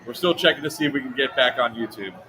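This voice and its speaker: A man's voice: medium pitch, fast, bright, forceful tone, a faint crowd. A man hosting a casual livestream from an outdoor event, talking loosely and laughing easily. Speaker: host. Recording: clear background event noise.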